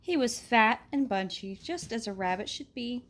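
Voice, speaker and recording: Woman gently reading a storybook aloud. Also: a little high, expressive, moderate pace. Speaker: storybook reader. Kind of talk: reading a picture book aloud.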